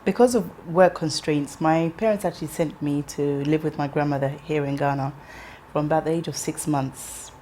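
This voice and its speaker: A woman in her thirties, raised in England, tells her story in a low, steady voice. She speaks reflectively, pausing with ums and restarting phrases. A faint hiss of noise sits behind the recording. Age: 30s. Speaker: interviewee. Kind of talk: personal life story interview.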